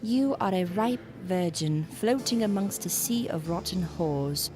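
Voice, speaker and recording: A woman's voice: fairly low pitch, animated, a little slow. Imperious, eccentric lady doctor, played by a woman. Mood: haughty, probing. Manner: theatrical, archaic, knowing. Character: eccentric, imperious female gothic doctor, secretive researcher. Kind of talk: gothic audio drama acting. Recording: music under the voice.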